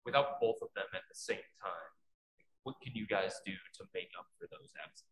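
A man's voice: lowish voice, fairly quick, a bit bright, breathy edge, poor audio. A male sports reporter asking a player direct, probing questions at a press conference.

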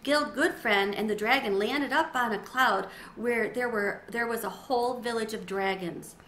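A middle-aged woman reading a children's story aloud expressively, with clear storytelling phrasing. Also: on the high side, normal speed, fairly bright tone. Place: small windowed sunroom at home. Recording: roomy echo, faint background noise.

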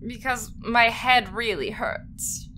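A woman's voice: fairly high pitch, takes it slowly, pushed and bright, a little hiss or hum. An actress playing a hungover female doctor, whiny and reluctant, in an audio drama. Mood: groggy, reluctant.

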